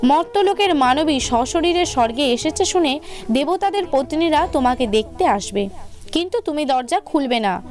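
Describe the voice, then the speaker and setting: A woman voicing a calm, authoritative male god in a devotional storybook tale, giving formal instructions. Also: sits high, moderate pace. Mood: calm instructing.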